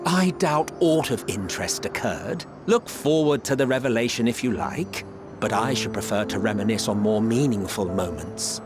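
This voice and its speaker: A man's voice: lowish voice, animated, moderate pace, over loud music, natural voice. An actor playing an aloof, sceptical man in a fantasy game, dry and haughty in formal archaic speech. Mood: dry, dismissive. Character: aloof, sceptical ancient man, dryly dismissive. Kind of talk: dramatic scripted game acting.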